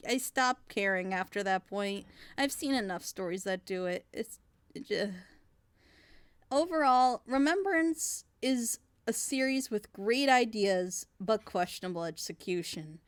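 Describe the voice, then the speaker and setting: A woman with a somewhat high voice talks frankly and at length in a casual group discussion. She is opinionated and chatty. The sound is dry, with no room around her. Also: animated, measured pace, clear, resonant tone, no echo. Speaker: reactor on a group reaction show. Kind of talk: casual group chat, giving critique.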